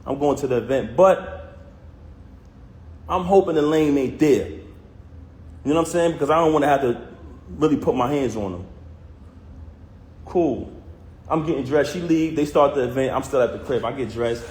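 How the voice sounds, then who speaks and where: A man speaks straight to his viewers, recounting a personal story in a heated, emphatic way with plenty of street slang. His voice sits at an ordinary male pitch. The room gives it a noticeable echo. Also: moderate pace, long pauses, darkish tone. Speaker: vlogger recounting a personal conflict. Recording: roomy echo.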